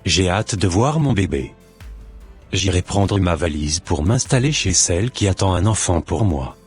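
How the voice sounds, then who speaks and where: Guy doing a smitten husband in a church cartoon, real deep and husky, bit of music under. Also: expressive, measured pace, exaggerated voice. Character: besotted husband under a spell.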